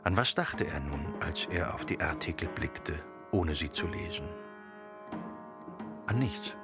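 An actor playing the narrator of a radio play, reading literary prose in a measured way. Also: male voice, extremely low pitch, expressive. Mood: measured, subdued. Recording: music with sitar behind.

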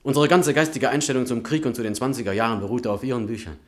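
A man's voice: mid-range voice, fairly even intonation, fast, natural voice. An actor in a German radio play, playing an earnest, admiring young man of letters who speaks politely and articulately. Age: young adult. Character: earnest, admiring young male writer, respectful and eager.

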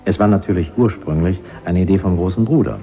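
An actor in a radio play voicing a zealous, pedantic linguist who lectures with emphatic, self-satisfied conviction. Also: male voice, low voice, fast. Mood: smug enthusiasm. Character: zealous, pedantic male linguist, fanatical party believer. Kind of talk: German radio play acting. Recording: dull radio production with music.

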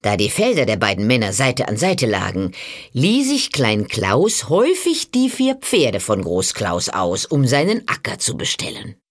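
Female storyteller, low voice, slow, richly animated, scripted fairy-tale narration, expressive.

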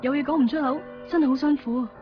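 A woman's voice: high voice, fairly even intonation, rapid-fire, close to an everyday voice. An actress playing a devoted, sentimental young woman in a Cantonese radio drama, earnest and emotional, with a Hong Kong accent. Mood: shaken, reflective. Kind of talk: 1990s Cantonese radio drama acting with first-person narration. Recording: distant, dull radio recording with music.

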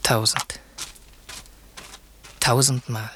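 An actor playing a noble, ardent young prince in love in a 1960s German radio play, lyrical and courtly. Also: male voice, medium pitch, level tone, extremely slow, fairly bright tone, a little hiss or hum. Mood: fervent, devoted.

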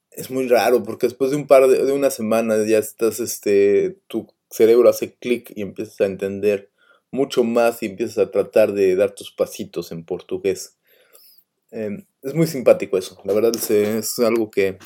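A man talks through a relaxed radio segment. His voice sits fairly low and sounds dark and mellow. He rambles and hesitates, often stopping on filler words. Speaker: radio host. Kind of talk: casual radio talk.

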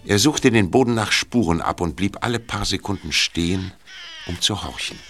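A man playing the storyteller of a detective audio drama, narrating from a script in a measured, knowing way. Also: low-pitched, fairly bright tone, breathy edge, soft background music, full character voice. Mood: measured, suspenseful.

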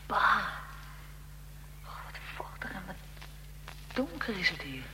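A woman's voice: on the low side, slow pace, in a whisper. A woman acting in a radio drama as a curious, easily startled young interviewer who questions a figure from history. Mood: uneasy, naive. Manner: inquisitive, expressive, reacts with alarm and wonder. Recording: long echoing reverb, faint background noise.

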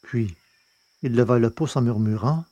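A man narrating a science-fiction novel as an audiobook, in a steady, measured reading. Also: medium pitch, dry recording, lo-fi sound.

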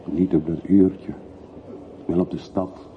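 A man's voice: low voice, steady tone, slow pace. An actor playing a reserved, brooding traveller in his forties in a radio play, terse and guarded. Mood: guarded, reluctant. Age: 40s. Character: reserved, brooding traveller of forty-three, reluctantly back home. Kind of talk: radio drama acting. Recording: muffled narrowband radio recording with hiss.

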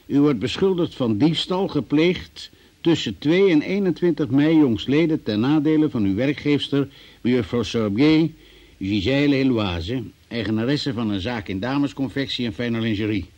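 An actor playing a formal, businesslike examining magistrate in a Dutch-language radio play. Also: male voice, medium pitch, expressive, moderate pace, a little mellow, lightly acted. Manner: formal, official, procedural phrasing. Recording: dull, muffled old radio recording.

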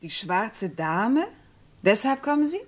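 A woman's voice: medium pitch, very animated, slow pace. A cool shrewd businesswoman in a radio crime play, composed, guarded, formal n evasive. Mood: startled, wary. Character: cool, guarded, shrewd female art importer. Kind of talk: German radio crime drama acting.

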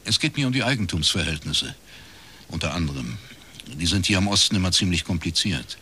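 Radio drama detective, deep, forceful tone, matter-of-fact, laconic, dryly probing. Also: male voice, lively intonation, a little fast, slight background noise. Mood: businesslike. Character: dry, probing male private detective from East Berlin. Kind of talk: radio drama dialogue and first-person narration.

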